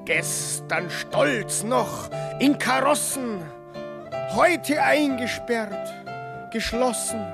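A mournful, mocking street ballad singer for radio drama. Her mid-pitched delivery is extremely slow, over clear plucked guitar. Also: expressive, full character voice.

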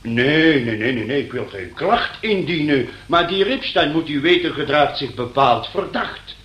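An actor playing a fussy busybody neighbour in a radio play, flustered, officious and apologetic, often repeating himself. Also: male voice, fairly high pitch, animated, unhurried, a bit bright, reverberant. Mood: officious, agitated. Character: fussy, busybody male neighbour phoning the police.

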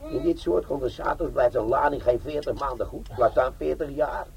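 An actor playing a cocky, chatty bomb-disposal sergeant of middle age, with darkly joking teasing, in a Dutch comic radio drama. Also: male voice, medium pitch, normal speed, gravelly, a little hiss or hum, close to an everyday voice.

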